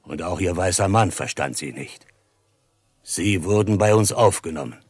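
Proud old-west chief, german radio drama, lowish, dry sound, solemn and declamatory. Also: male voice, animated, moderate pace, husky, dry recording. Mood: solemn, recounting. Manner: solemn, ceremonious, declamatory storytelling. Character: Matoshako, dignified Osage chief telling of past deceit.

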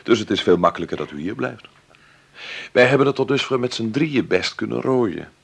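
An actor playing a wry, protective grown son in mourning in a radio drama, gruffly affectionate in manner. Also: male voice, medium pitch, expressive, a little fast. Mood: gently insistent. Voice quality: gravelly, husky. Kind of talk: naturalistic radio drama dialogue.

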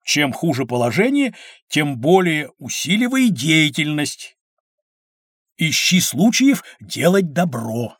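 An actor playing a patient, learned storyteller who explains things expressively in an educational children's audio drama. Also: male voice, fairly high pitch, big pitch swings, slow, fairly bright tone, husky, dry recording, studio quality, heavily acted. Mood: solemn. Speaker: narrator-teacher.

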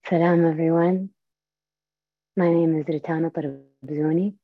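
Young woman storyteller from Saudi Arabia, earnest and unhurried, lowish voice, dull dry recording. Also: steady tone, dry recording. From Saudi Arabia and Palestine. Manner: earnest, slow, deliberate delivery. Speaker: storyteller and performer. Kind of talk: theatrical monologue and personal talk. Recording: dull, lo-fi audio.

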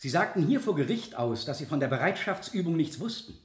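Scornful prosecutor, dramatically swooping voice. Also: male voice, fairly high pitch, sing-song, brisk, reverberant. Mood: thundering accusation. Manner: theatrical, rhetorical, insistent cross-examining. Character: relentless male public prosecutor, scornful and cuttingly ironic. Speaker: actor in a radio play. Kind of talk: 1970 German radio drama courtroom acting.

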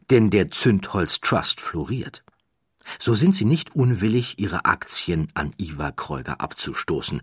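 A man reading a scripted documentary narration in a measured, deliberate way with dramatic emphasis. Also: deep voice, slightly rough, no room sound, studio quality.

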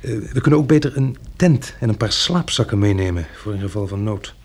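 Radio drama survivor: practical, resolute planner, gravelly and husky, thinks aloud with small hesitations. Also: male voice, fairly low pitch, expressive, brisk. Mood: businesslike. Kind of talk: Dutch radio drama acting.

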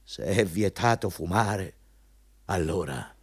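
A man's voice: deep voice, animated, slow pace, husky, full character voice. Domineering male boss, wildly swinging intonation. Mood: stern, preachy. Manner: bullying, pompous, mocking. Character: domineering, hypocritical male company boss interviewing a job applicant. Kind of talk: theatrical Italian radio drama acting.

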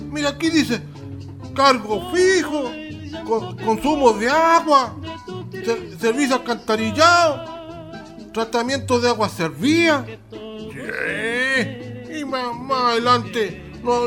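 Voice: medium pitch, slow pace, music in the background, lightly acted. An actor voicing an elderly Chilean husband in a radio drama, a grumbling pensioner who stammers and repeats words indignantly. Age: older.

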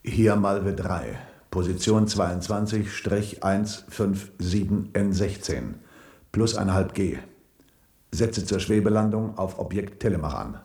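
An actor playing a flustered, chatty astronaut in a 1970s German radio play, stammering in astonishment and talking to himself. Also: male voice, deep voice, unhurried, a little mellow, slightly rough, full character voice. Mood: brisk reporting. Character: flustered, chatty male astronaut landed on strange planet.

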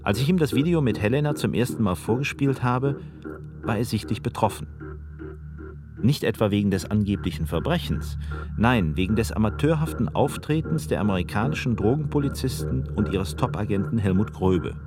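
A man reads scripted documentary narration in a fairly low voice. His delivery is pointed and ironic. Music plays clearly behind him. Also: animated, fairly quick.

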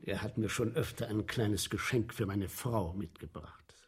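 An actor playing an eager, obliging guide to underground sewers in a German radio play, speaking in a declamatory, showy manner. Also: male voice, fairly low pitch, moderate pace, slightly rough. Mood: matter-of-fact.

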